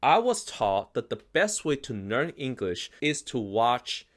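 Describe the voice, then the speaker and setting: Founder type, Chinese native speaker, animated and emphatic, mid-pitched man. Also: measured pace. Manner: animated, emphatic, acts out quoted reactions. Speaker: investment company founder. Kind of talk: casual explainer talk.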